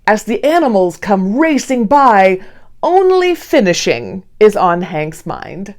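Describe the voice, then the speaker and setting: A woman reading a children's picture book aloud expressively, with deliberate storyteller phrasing. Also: medium pitch, big pitch swings, darkish tone. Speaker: read-aloud host.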